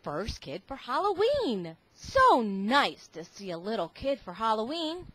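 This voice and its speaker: A woman's voice: a little high, wildly swooping pitch, a little slow, a bit bright, slightly rough, no room sound, full character voice. A woman voicing a friendly big man greeting a trick-or-treater in a read-aloud storybook. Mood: cheerful.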